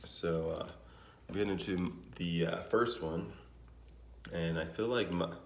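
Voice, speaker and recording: Deep-voiced young man in echoey room. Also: measured pace. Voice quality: naturally deep voice. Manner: relaxed, rambling, with many fillers and restarts. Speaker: vlogger. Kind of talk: casual vlog talk to camera. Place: home in Denver. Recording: echoey room with faint ambience.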